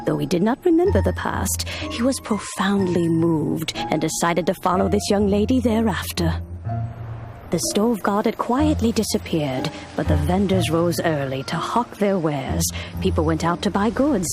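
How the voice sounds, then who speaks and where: An actress playing an ageless, wise immortal woman in a video game, telling old tales in a solemn, measured, formal way. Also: lowish voice, animated, music in the background. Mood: reverent storytelling. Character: ageless immortal adeptus woman, wise, stately, nostalgic storyteller. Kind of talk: dramatic video game storytelling.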